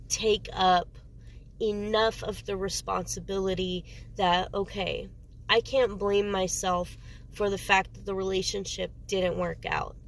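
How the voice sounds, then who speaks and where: Gravelly-voiced woman with a cold. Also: medium pitch, fairly even intonation, measured pace, a little hiss or hum. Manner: rambling, searching for words. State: sniffly with a cold. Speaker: tarot reader. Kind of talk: casual solo tarot reading.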